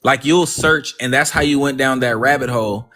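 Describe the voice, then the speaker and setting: A man's voice: medium pitch, moderate pace. Effusive man thanking his listeners. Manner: warm and effusive, repeats words of thanks. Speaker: livestream host. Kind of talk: casual positive-affirmation talk.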